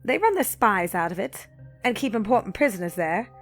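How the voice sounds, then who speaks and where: Woman playing a lively, cocky young elf renegade. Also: mid-range voice, soft background music. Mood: brisk, confiding. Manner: brisk, playful, dramatic. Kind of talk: audio drama acting.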